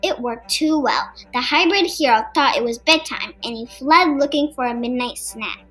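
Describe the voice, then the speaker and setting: A woman narrates a children's story in a high-pitched voice. She reads from a script as a storyteller for young listeners. Soft music plays behind her. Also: moderate pace. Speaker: storybook reader for a podcast. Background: background music.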